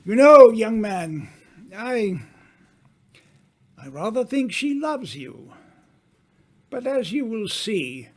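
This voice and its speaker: Man acting worldly older writer, old-time radio mystery, high-pitched for a man, lively intonation, grandiose, teasing, self-assured. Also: heavily acted. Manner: grandiloquent, deliberate, dramatic pauses.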